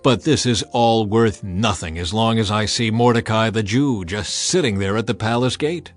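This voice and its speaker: A man's voice: lowish voice, normal speed, soft background music, lightly acted. A man voicing a vain, scheming royal official in a grandiose, emphatic manner for a dramatized audiobook. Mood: boastful, resentful. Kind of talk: dramatized audiobook character acting.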